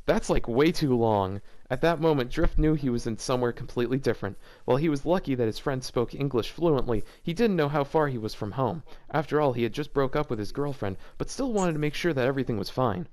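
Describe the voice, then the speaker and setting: A man's voice: mid-range voice, lively intonation, fast, hardly any pauses, darkish tone. A man hosting a casual read-aloud of fan fiction, breaking it up with incredulous, joking commentary. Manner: incredulous asides breaking up the reading.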